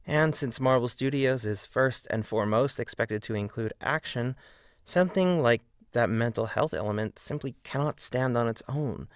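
A man reading scripted video essay narration in an earnest, measured way with deliberate emphasis. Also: mid-range voice, dry recording, studio quality.

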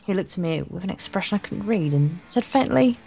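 Woman, game co-host, animated intonation, dramatic story reading, playful asides, faint music behind. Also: mid-range voice, fairly quick, creaky, rough recording. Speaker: let's play co-host reading visual novel text aloud. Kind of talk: story read-aloud with playful banter.

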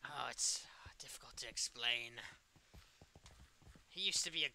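A man's voice: mid-range voice, measured pace, bright, forceful tone, a little hiss or hum. A man playing a smug, taunting evil puppet killer in an improvised game role-play, with a nonchalant manner.

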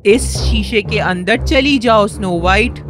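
A woman's voice: medium pitch, natural voice. A woman voicing a cruel, gloating witch queen with a commanding, menacing manner in a children's fairy-tale cartoon. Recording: music under the voice.

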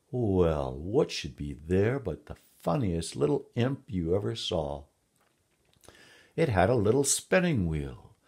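A man plays a jovial storybook king, hearty and amused. The husky voice swings widely in pitch as he relishes every detail. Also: exaggerated voice. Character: jovial, chuckling storybook king telling of his hunt.

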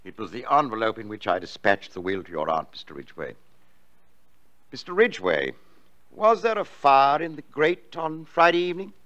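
An actor playing a formal, probing family solicitor in a radio drama, speaking deliberately with polite hesitations. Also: male voice, medium pitch, sing-song, a bit bright, muffled recording. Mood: grave.

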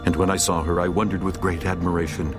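A man narrating scripture in a slow, solemn, stately reading over music. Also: low voice, level tone.

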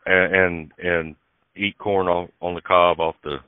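Very low-voiced man on a phone line. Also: flat delivery, unhurried, smooth, dry recording. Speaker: podcast host interviewing a guest. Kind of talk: casual phone conversation. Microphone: phone call. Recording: dull, band-limited phone call recording.

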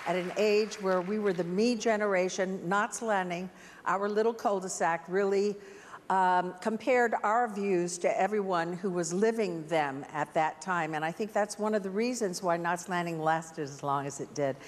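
A woman giving a hurried, chatty speech at an awards show. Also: lowish voice, a little hiss or hum. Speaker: actress at a reunion. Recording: stage mic in a big hall.

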